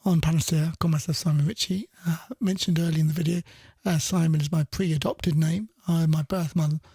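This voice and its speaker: A man's voice: on the high side, steady tone, brisk, creaky, mellow, slightly rough, dry recording. A man presenting a radio show casually, chatty and rambling, often correcting himself mid-sentence. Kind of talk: casual radio presenting between soul songs.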